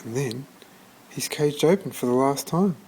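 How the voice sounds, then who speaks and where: A man reading a children's story aloud in an expressive storytelling manner, occasionally stumbling and restarting. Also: medium pitch, normal speed, slight background noise. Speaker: storybook reader.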